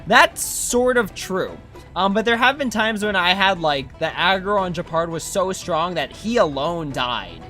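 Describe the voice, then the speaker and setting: Bright, slangy game streamer of ambiguous pitch. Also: expressive, unhurried. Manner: slangy, rambling, easygoing. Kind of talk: casual gameplay commentary. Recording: game music behind voice. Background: video game music.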